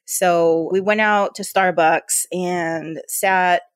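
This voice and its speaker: A woman's voice: on the low side, steady tone, takes it slowly, no room sound. Chatty American woman in a dry room. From Yuma, Arizona, US. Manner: chatty, informal, often quotes dialogue. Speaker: interview guest, port authority leader. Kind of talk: conversational interview, personal anecdotes.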